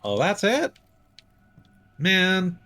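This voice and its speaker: A man's voice: high voice, huge pitch swings, extremely slow, sharp tone, soft background music. A man giving casual live commentary as a game streamer, rambling and musing aloud.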